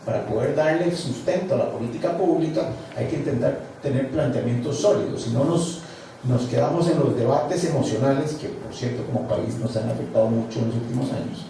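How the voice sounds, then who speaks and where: A man's voice: medium pitch, brisk, dark tone. A male panel moderator, posing long formal questions to a guest. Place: large hall. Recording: echoey hall, far from mic, some noise.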